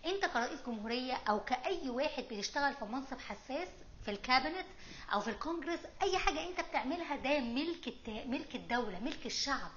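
A woman's voice: high-pitched, expressive, fast, a bit bright, dull audio, a little hiss or hum. A middle-aged woman with an Egyptian accent giving informal, emphatic explanatory commentary to camera, mixing in English terms. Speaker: host of a political explainer video series.

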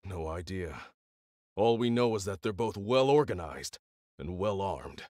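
An actor voicing a dutiful, middle-aged army general in a video game, with formal military phrasing. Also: male voice, sits low, animated, measured pace, a little mellow, no room sound. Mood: formal, grave. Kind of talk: English video game voice acting.

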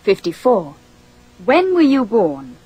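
A woman reading a scripted language course drill, slowly and with careful enunciation. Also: medium pitch, huge pitch swings, warm tone, breathy edge, poor audio. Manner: slow, deliberate, carefully enunciated.

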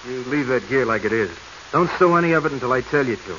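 A man's voice: medium pitch, expressive, fast talker, pushed and bright. An actor playing a nervous, scheming male treasure hunter in 1940s radio style, evasive and bossy, prone to stammering. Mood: startled, tense. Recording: muffled, hissy 1940s radio recording.

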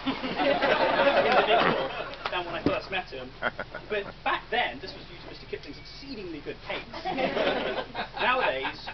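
A man in his thirties with an English accent, giving a best man's toast in a large wedding marquee, with dry jokes and pauses for laughs. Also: high voice, very noisy. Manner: dry joking delivery with ums and pauses for laughs. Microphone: far camera, no mic. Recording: distant dull camera audio.

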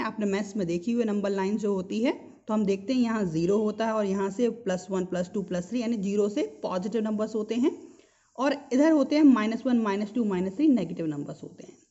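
A woman's voice: a little high, brisk, warm tone. A woman with an Indian accent teaching a physics lesson, explaining didactically, repeating key terms and asking rhetorical questions. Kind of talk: explanatory school lesson mixing Hindi and English. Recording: dull lo-fi sound.

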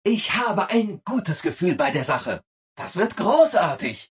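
Over-eager robot assistant, video game character, hugely swooping intonation, very husky rough-edged tone, relentlessly cheerful. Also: male voice, high-pitched, very animated, slow, heavily acted. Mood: bright, agreeable. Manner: chirpy, over-eager, exaggeratedly obliging.

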